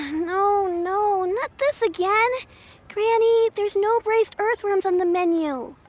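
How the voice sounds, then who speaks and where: A woman's voice: very high voice, takes it slowly. A woman voicing a polite, caring and resilient young child in a video game. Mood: earnest, weary.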